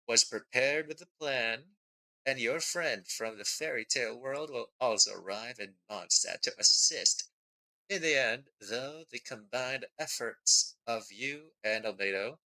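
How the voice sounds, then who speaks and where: A man's voice: mid-range voice, bright, forceful tone, rough recording. A man streaming a game, reading story text aloud and adding short comments.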